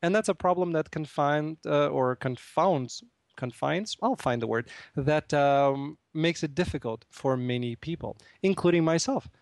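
A man's voice: on the high side, a little slow, gravelly, no echo. A man from Russia hosting a live radio talk show from a studio, chatting playfully with many fillers and laughing at himself.

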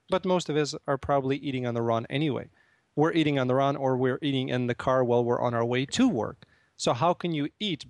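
A man's voice: on the high side, no echo. A man from Russia hosting a live radio talk show from a studio, chatting playfully with many fillers and laughing at himself.

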